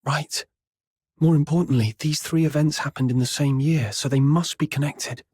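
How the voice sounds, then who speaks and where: An actor playing a composed, determined young hero in a video game, speaking in a calm, understated way. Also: male voice, on the high side, moderate pace, husky, dry recording, studio quality. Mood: resolute. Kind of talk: video game cutscene voice acting.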